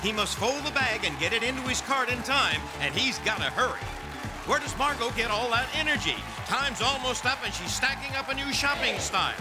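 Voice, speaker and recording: Excited high-pitched American male game show announcer. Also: fairly quick. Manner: excited, punchy, playful. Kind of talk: play-by-play game show commentary. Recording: loud music behind the voice. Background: loud game show music.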